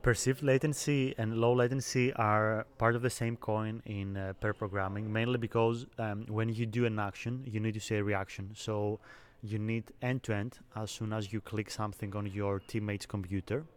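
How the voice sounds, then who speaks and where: A young man from Greece, a software engineer, calmly explaining technical work in an interview. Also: on the low side, normal speed, hardly any pauses. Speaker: software engineer, conference speaker. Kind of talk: interview answers.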